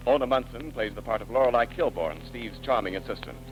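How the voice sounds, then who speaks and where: A man giving a booming, formal opening announcement for a 1930s radio drama. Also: animated, brisk, faint music behind. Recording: dull 1930s radio broadcast, off mic.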